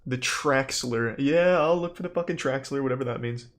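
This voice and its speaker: A man's voice: mid-range voice, moderate pace. A man streaming casually, laid-back, thanking his viewers between chess games. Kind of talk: casual livestream chat.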